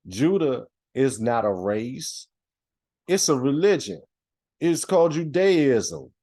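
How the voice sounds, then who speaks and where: A man speaks in a slow, emphatic preaching manner, repeating key lines to drive them home. His voice is warm and mellow, recorded close and dry with little room sound. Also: mid-range voice, dry recording. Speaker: religious video host. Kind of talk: preaching commentary over clips.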